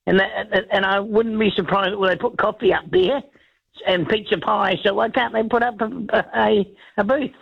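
Croaky New woman on a lo-fi phone line. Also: medium pitch, steady tone, moderate pace. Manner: chatty, repeats herself with restarts. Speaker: caller. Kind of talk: casual radio call-in chat. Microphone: telephone.

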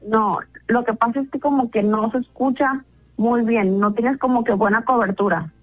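A woman's voice: fairly high pitch, steady tone, normal speed, phone-quality sound, soft background music. A woman in a casual phone chat, talking politely and patiently with an amused manner. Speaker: phone participant in a radio prank.

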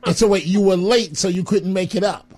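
High-voiced male radio talk host. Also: brisk, darkish tone. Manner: friendly, exclamatory, rambling asides.

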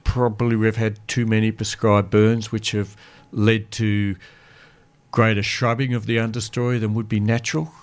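Male guest, low-pitched, earnest, halting, plain studio talk. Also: flat delivery, clear, resonant tone. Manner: earnest, halting, many restarts. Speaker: guest land carer. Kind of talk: radio interview talk. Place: radio studio.

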